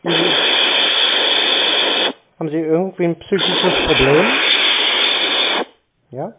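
Deadpan man on a narrow phone line. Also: on the high side, takes it slowly, very noisy. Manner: deadpan, terse, mocking. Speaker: call recipient, prank-answering scam calls. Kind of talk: phone conversation.

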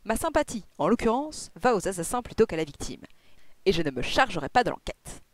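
A reader putting on a brisk, commanding gentleman detective from a classic storybook. He is curt and assertive, sure of every decision, recorded dry and close. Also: mid-range voice, very animated, no echo. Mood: firm.

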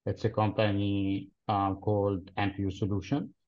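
A man in his thirties from Lebanon, answering interview questions in a deliberate, explanatory way with frequent pauses, heard over a video call. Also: on the low side, level tone, a little mellow. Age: 30s. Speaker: interview guest, cloud solutions architect. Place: plain-walled room, indoors. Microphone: video call webcam. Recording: dull lo-fi video call audio.

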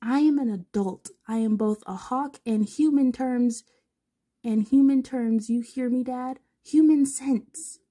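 Woman playing flustered young bird-winged hero, high voice, emphatic, indignant, storybook-style reading. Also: a little slow, warm tone. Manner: protesting, emphatic.

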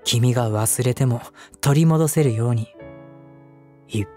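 A man plays a loving young husband in an intimate audio drama. His medium-pitched voice is gentle and affectionate, addressed straight to his wife, the listener. Soft music plays faintly behind him. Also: measured pace, natural voice. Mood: fond, reminiscing. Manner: gentle, affectionate, softly chuckling between phrases. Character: devoted, tender young husband comforting his forgetful wife. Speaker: voice actor. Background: background music.

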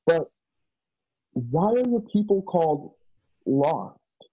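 A man playing a puzzled, plain-spoken young man in a radio play, halting and doubtful, over a video call. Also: on the high side, sing-song, slightly rough, exaggerated voice. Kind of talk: remote radio play reading. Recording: dull lo-fi video call audio.

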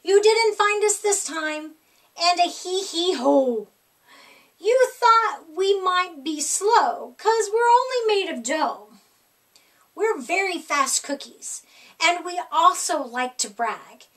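A woman's voice: extremely high pitch, animated, slow, fairly bright tone, voice pushed up, heavily acted. A woman voicing cheeky, boastful runaway gingerbread cookies, teasing in sing-song storybook rhyme. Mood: gleeful bragging.

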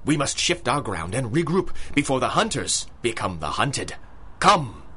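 An actor playing an arrogant, obsessive big-game hunter in a theatrical 1930s-style radio drama, with a pompous, husky delivery. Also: male voice, medium pitch, very animated, measured pace. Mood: tense, commanding.

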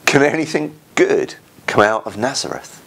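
A man in his forties with an English accent, a church minister giving an earnest, informal sermon in short, halting phrases, recorded indoors on a lapel mic. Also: medium pitch, wildly swooping pitch, gravelly, a bit bright. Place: indoors, small room at home.

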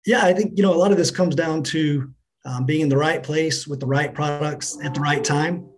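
A middle-aged man answering business interview questions as a company finance chief, in a measured, businesslike manner. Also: a little high. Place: indoors on a remote video call.

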